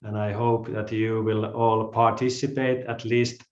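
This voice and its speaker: Male instructor with a Finnish accent for a live class intro: slow, halting delivery, muffled roomy sound. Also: fairly low pitch, level tone, a little mellow. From Finland. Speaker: yoga and calisthenics instructor. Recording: roomy, slightly dull live-stream audio.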